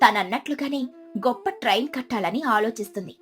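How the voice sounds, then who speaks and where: A woman narrating a scripted children's story in an expressive storytelling manner. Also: a little high, fairly quick, faint music behind.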